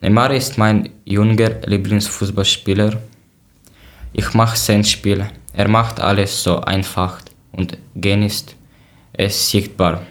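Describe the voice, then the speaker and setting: Co-Moderator aus der Ukraine, tiefe Stimme, einfaches Lerner-Deutsch. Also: male voice, on the low side, flat delivery. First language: not German. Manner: plain, measured reading with short sentences. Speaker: podcast co-host. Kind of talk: reading prepared talk in learner German.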